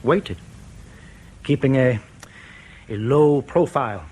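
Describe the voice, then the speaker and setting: An actor in a radio drama playing a wary East German defector and spy who speaks in a measured, ruminative way. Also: male voice, mid-range voice, animated, darkish tone, slight background noise.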